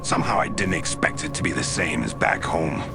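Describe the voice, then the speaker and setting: A man voicing a gruff rookie stalker who narrates in a wry, deadpan way for a video game. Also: a bass voice, fairly quick, heavily acted. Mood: wry, brooding. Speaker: player character and narrator. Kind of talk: cinematic game voiceover, first-person narration. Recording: loud music under the voice. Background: loud music.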